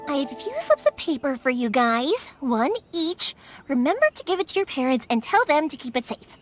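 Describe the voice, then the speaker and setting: A woman's voice: sits high, normal speed, faint music behind. A woman playing a cheerful, kind young fireworks maker in a video game, chatty and informal. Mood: bright, friendly. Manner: chatty, animated, informal, playful. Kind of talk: video game voice acting, English dub.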